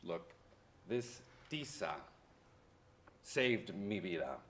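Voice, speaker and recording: A man's voice: low-pitched, animated, extremely slow, a bit bright, a little hiss or hum, full character voice. A man playing a reflective college history professor who reminisces about lost parents in a staged-reading monologue. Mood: wistful, earnest.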